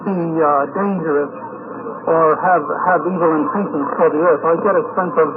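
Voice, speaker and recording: A man doing a halting 1950s radio interview on location, repeating words as he forms his questions. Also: a little high, fairly even intonation. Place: on location at a convention. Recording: noisy, tinny 1958 radio field recording.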